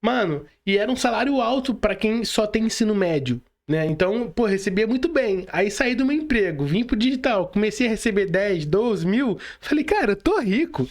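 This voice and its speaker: A man's voice: sits high, very animated, normal speed, hardly any pauses. A man with a Brazilian accent hosting a casual interview, speaking in slang and repeating words for emphasis. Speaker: podcast host.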